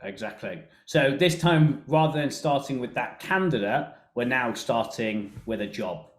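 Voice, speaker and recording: A man with a mid-pitched voice presents a webinar in a conversational, explanatory style. The recording is echoey, with the room clearly audible around his voice. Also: animated, a little slow. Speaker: software company presenter. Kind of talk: webinar product walkthrough. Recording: roomy, echoey sound.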